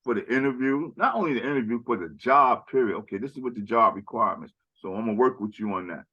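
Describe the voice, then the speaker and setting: A man's voice: mid-range voice, quick pace, sharp tone, highs cut off. A man speaking in a casual conversational chat as a podcast guest, rambling and often tagging "right?" and "you know".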